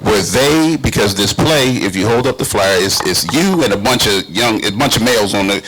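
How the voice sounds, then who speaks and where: A man's voice: on the low side, expressive, moderate pace, background noise. A man with a conversational interviewing style, talking as a panel interviewer. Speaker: panel interviewer, youth worker.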